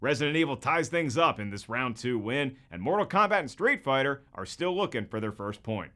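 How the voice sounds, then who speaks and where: A man's voice: mid-range voice, sing-song, fairly quick, pushed and bright. A man hosting scripted comedic commentary, with a wisecracking manner full of rhetorical questions. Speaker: host and comedian.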